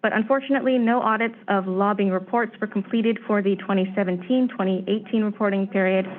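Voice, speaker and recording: A woman staff presenter giving a formal, measured briefing on proposed legislation, her voice slightly hoarse. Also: mid-range voice. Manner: steady, measured, reads prepared remarks. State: slightly hoarse, clearing throat. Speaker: staff presenter at a commission meeting. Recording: tinny phone-line audio.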